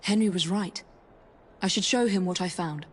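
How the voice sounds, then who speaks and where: An actress playing a brisk, protective young female assassin in period game dialogue, with a British accent. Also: fairly low pitch, slight background noise, natural voice. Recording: music behind the voice.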